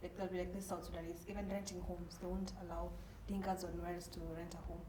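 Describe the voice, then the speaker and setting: A woman's voice: lowish voice, level tone, quick pace, roomy sound. Earnest woman host, faint hum behind. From Kenya. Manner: earnest, hesitant, with fillers. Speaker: podcast co-host. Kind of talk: podcast hosting and announcements.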